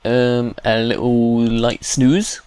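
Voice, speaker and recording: A man's voice: mid-range voice, level tone, slow, a little mellow, clear, resonant tone. A man hosting a gameplay video, commenting casually and informally with playful exasperation. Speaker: gaming video host.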